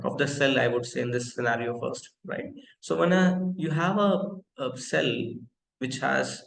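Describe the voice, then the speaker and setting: A man in his thirties teaches a technical webinar over a computer mic. His voice has a gravelly edge and sits at a middle pitch. The room sounds echoey, with faint noise behind him. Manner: interactive, asks the audience questions, many fillers. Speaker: webinar host and company founder. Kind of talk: live online technical lecture.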